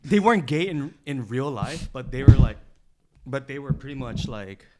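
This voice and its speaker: A man's voice: mid-range voice, animated, moderate pace, a crowd in the background. A man co-hosting a podcast in casual, slangy, profane talk, argumentative and constantly peppered with "like" and "you know.". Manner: repeats 'like' and 'you know' constantly. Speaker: podcast co-host. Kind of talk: casual group chat.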